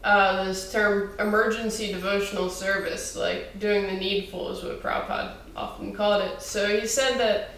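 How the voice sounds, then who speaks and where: A woman in the audience asks a hesitant question, pausing on fillers as she speaks. She is heard from far off the mic. Heavy echo fills the hall, with a faint hum of noise behind her. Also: mid-range voice, steady tone, fairly bright tone. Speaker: audience member asking a question. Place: temple hall. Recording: distant mic in a very echoey hall.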